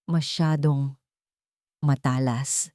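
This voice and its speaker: A woman's voice: very deep voice, steady tone, very slow, dark tone, no room sound, clean studio sound, exaggerated voice. A woman voicing a troubled, hesitant wealthy man in an audio drama, in an earnest and questioning manner. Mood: uneasy.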